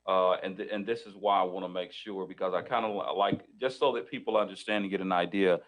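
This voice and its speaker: Low-voiced male attorney hosting a legal talk show, halting, restarts a lot. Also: fairly even intonation, fairly bright tone. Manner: hesitant, many restarts and fillers, leading questions.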